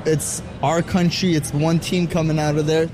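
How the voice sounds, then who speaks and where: Higher-voiced man, noisy street ambience. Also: level tone, moderate pace. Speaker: interviewee. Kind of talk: spontaneous opinion. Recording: noisy location with traffic ambience.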